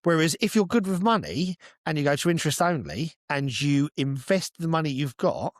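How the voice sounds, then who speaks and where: A British man chats casually as a podcast co-host in a fairly high male voice. He explains things with blunt, sweary conviction, often posing a question and answering it himself. The sound is dry, with very little room. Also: expressive, normal speed, no echo. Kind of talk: casual chat.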